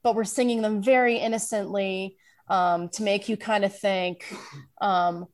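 A woman's voice: medium pitch, fairly even intonation, measured pace, darkish tone. A woman chatting playfully in a casual podcast conversation, joking and restarting her sentences. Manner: playful, jokey, lots of restarts and fillers. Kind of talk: casual chat.

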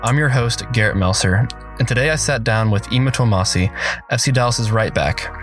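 A man's voice: mid-range voice, normal speed, a bit bright, faint music behind. A man hosting a sports podcast, introducing the episode and asking friendly, conversational questions. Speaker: podcast host. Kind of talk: podcast interview.

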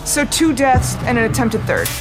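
A woman's voice: fairly high pitch, animated, moderate pace, lightly acted. An actress playing a dogged female detective in a supernatural noir audio drama. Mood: intent. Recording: loud music under the dialogue.

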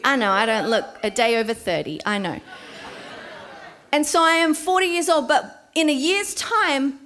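A woman in her forties preaching a sermon, slowing to stress key words. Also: high voice, lively intonation, fairly bright tone, a faint crowd. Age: 40s.

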